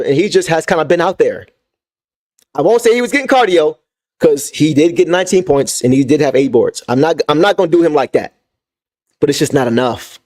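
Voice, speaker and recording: A young man with a fairly high voice that has turned hoarse hosts a live sports stream. He vents emphatically, repeats his points for weight, and talks straight to the people in his chat. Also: darkish tone. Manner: emphatic, repetitive venting, reads chat. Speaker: sports fan channel host. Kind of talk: livestream sports rant.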